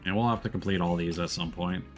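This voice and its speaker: A man with a fairly low voice chats casually as he streams a game. He rambles a little and hesitates as he goes, with faint game music behind him. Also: fairly even intonation, fast talker. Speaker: live streamer. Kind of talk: casual gameplay commentary, reading game dialogue aloud.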